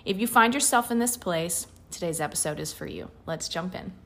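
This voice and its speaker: A woman's voice: on the low side, lively intonation, a little fast, over loud music. Earnest woman hosting a faith podcast. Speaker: podcast host. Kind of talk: faith podcast intro and interview.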